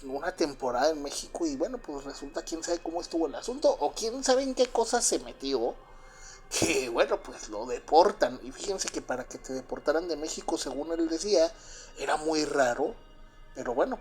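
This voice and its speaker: A Cuban-born man with a Mexican Spanish accent who was raised in Mexico, telling a first-person story in a halting, confiding way full of fillers and restarts. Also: on the high side, expressive, a little mellow, soft background music. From Cuba, raised in Mexico. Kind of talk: first-person spoken recollection.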